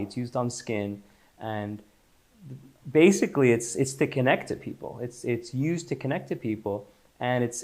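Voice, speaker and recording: A man born in Israel and raised in Los Angeles talks about his work as an interview guest. He speaks in a loose, meandering way, often restarting and repeating words, in a voice of ordinary middle pitch. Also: darkish tone. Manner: loose, searching delivery with frequent restarts and repeats. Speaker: guest, company founder. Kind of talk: casual interview chat.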